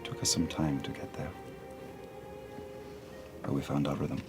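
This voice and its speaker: A man performs a soft-spoken German wizard in tabletop roleplay, weary but kind. The voice sits low with a gravelly creak, moving in halting, gentle phrases. Music plays prominently behind it. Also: little pitch movement. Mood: solemn, gentle.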